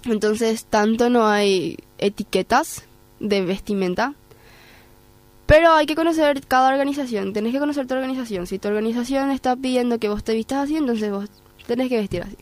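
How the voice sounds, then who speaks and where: A woman's voice: a little high, a little fast, dry recording. A woman with a Paraguayan Spanish accent, speaking conversationally as a podcast guest giving advice. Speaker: guest, programme coordinator.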